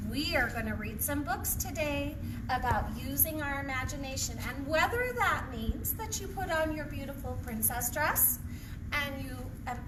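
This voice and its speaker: A woman reading aloud to young children in an expressive, teacherly way, as a storyteller on a livestream. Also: sits high. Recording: echoey room, distant mic, noisy background.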